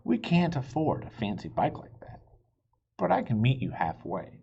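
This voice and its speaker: A man's voice: mid-range voice, sing-song, gravelly, warm tone, husky, lo-fi sound, voice pushed up, exaggerated voice. A man voicing a patient, reasonable father of a young girl in a children's storybook. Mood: patient, firm. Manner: patient, measured.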